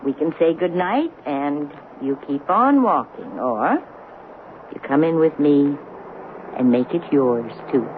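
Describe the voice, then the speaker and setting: An actress playing a serene, shrewd, motherly woman in her eighties in a radio drama, knowing and gently teasing. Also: low-pitched, expressive, slow pace. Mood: tender, hospitable. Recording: muffled narrow-band radio broadcast, noisy.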